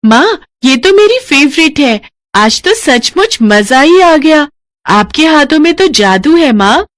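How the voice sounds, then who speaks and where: A woman voicing a happy, gushing little farm girl in an animated story. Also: high-pitched, slow pace, voice pushed up, full character voice. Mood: delighted.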